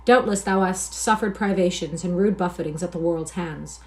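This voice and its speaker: A woman reading the part of a guarded young nobleman who speaks in a mild, soft tone with old-fashioned phrasing. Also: lowish voice, moderate pace, natural voice.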